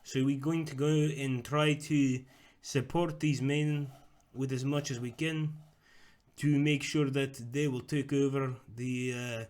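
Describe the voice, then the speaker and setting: A man's voice: mid-range voice, darkish tone. Rambling man streaming a strategy game. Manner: rambling, chats with viewers, talks through moves. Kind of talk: casual live-stream game commentary.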